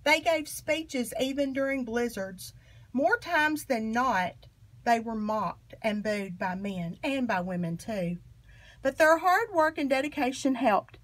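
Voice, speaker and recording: A woman with a fairly high voice reads a children's picture book aloud. Her phrasing is expressive and emphatic, shaped for young listeners. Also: slow. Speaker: storybook reader.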